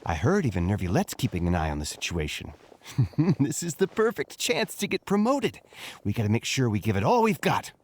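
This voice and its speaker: An actor voicing an easygoing, joking city guard in a video game. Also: male voice, mid-range voice, very animated, fairly quick, a little mellow. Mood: playful.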